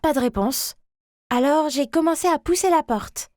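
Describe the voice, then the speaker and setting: A woman's voice: high voice, normal speed, darkish tone, dry recording, clean studio sound, lightly acted. A woman voicing a chatty, ordinary schoolgirl, an overlooked younger sister who tells her own story expressively. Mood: puzzled, slighted. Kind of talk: animated first-person story narration.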